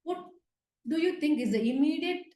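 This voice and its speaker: A woman's voice: high-pitched, lively intonation, normal speed, dark tone. A woman giving an interactive medical lecture online, prompting her learners with questions. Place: indoors, virtual class over video call. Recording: roomy, echoey online call audio.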